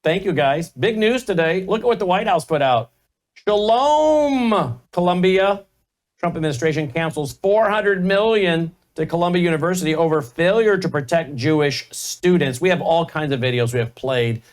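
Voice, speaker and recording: A man's voice: fairly high pitch, animated, moderate pace. A man hosting a news talk show, introducing guests and speaking in a direct, emphatic way.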